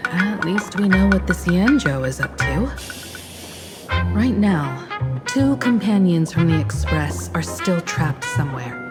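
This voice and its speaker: Judicious woman leader for a game: lowish voice, very slow, courteous, guarded, music behind. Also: big pitch swings. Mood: composed. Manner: deliberate, composed. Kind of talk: scripted video game acting.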